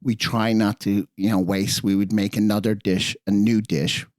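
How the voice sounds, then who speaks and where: A man's voice: fairly low pitch, level tone, moderate pace, a little mellow, dry recording, clean studio sound. A middle-aged man from Iran, partly raised in California, talking earnestly and casually as a chef guest on a podcast. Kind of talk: casual podcast interview, personal stories.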